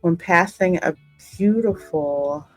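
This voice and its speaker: A woman with a notably low voice hosts a gaming video, reading narration aloud and dropping into casual chat. Soft music sits clearly behind her voice. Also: slow pace, rough recording. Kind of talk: reading game story aloud, casual reactions.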